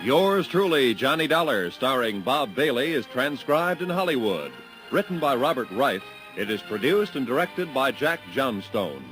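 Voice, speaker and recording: A man's voice: mid-range voice, sing-song, moderate pace, rough recording. A male announcer giving the closing credits of a 1950s radio drama, polished and emphatic. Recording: old radio broadcast with loud theme music.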